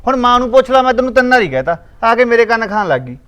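A man's voice: very high-pitched, very animated, very fast, a bit bright, exaggerated voice. A man, playing an irritable, dismissive rural husband.

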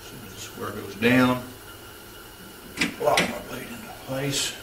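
Gravelly man talking through a woodworking build. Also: medium pitch, steady tone, takes it slowly. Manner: practical, instructive, matter-of-fact. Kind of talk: step-by-step workshop narration. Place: home garage workshop. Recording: roomy workshop, distant mic, tool noise.

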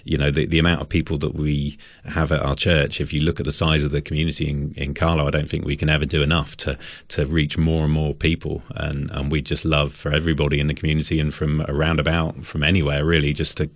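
A man's voice: rumbling low, level tone, quick pace, few pauses, no room sound, clean studio sound. A man speaking as a guest in a casual radio interview, rambling a little and feeling his way through answers in a self-effacing manner. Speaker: interview guest, church musician.

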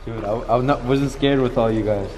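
A young man talks slangily with his friends. He presses his point and repeats it. The handheld recording echoes and carries clear background noise. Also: medium pitch, normal speed, darkish tone. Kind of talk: casual slangy chat with friends. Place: tunnel and rocky woods outdoors. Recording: echoey, noisy handheld camera audio.

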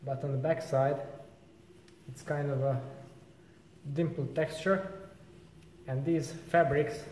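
A young man in a casual vlog, explaining his bike gear in a relaxed, informal way. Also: on the high side, slow, big-room sound, slight background noise. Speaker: vlogger. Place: large indoor bike workshop.

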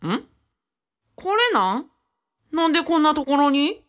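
A woman's voice: sits high, sing-song, unhurried, fairly bright tone, heavily acted. A woman playing a friendly, easily flustered young man in a radio drama, muttering and exclaiming with a Kyushu Japanese accent. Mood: suspicious. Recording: music behind the voice. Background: music.

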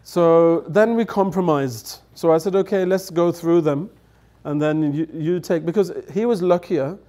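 A man's voice: fairly high pitch, unhurried, mellow. A middle-aged man, a native English speaker, telling anecdotes in a chatty way, laughing and acting out quoted lines, at a table on a studio set. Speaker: guest elder. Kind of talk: storytelling anecdotes. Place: studio set with dark curtains.

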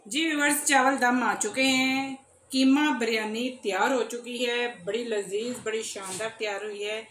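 Lady cooking at home, chatty, kinda high voice, echoey kitchen. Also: fairly even intonation, normal speed, fairly bright tone. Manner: friendly, chatty, addresses viewers directly. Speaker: cooking channel host. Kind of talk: step-by-step recipe demonstration. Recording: echoey kitchen with faint background noise.

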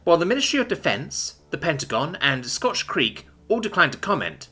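A man doing a crisp, formal TV news anchor. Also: a little high, very animated, a little fast, sharp tone, soft background music, pitched above the performer's own voice, full character voice. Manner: formal broadcast reading.

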